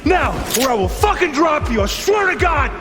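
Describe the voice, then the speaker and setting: An actor playing a frantic armed robber who screams threats at hostages. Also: male voice, very high-pitched, big pitch swings, normal speed, exaggerated voice. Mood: screaming, threatening. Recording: roomy echo with loud music.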